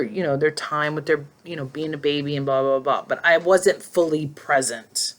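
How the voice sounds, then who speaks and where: A woman in her forties talking casually and emotionally to her phone in a personal vlog, rambling and reflective. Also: low voice. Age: 40s. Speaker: vlogger. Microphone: phone held in hand.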